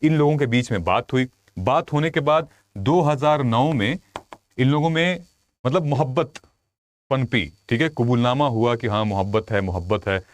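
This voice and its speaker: A man's voice: medium pitch, normal speed, dry recording. A man giving an informal explainer to viewers as a coach and host, telling it conversationally with frequent check-in tags. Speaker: UPSC exam coach and video host.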